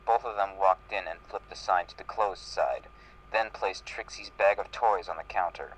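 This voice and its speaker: A man's voice: low voice, normal speed, fairly bright tone, slight background noise, poor audio. A man reading fan fiction aloud in a live group reading. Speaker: reader in a group comedy reading.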